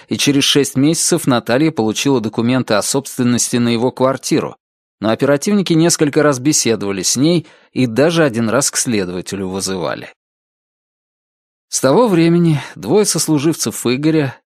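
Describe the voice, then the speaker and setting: A man reading a detective novel as a scripted audiobook, in a measured, expressive storytelling manner. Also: medium pitch, dry recording, clean studio sound. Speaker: audiobook narrator.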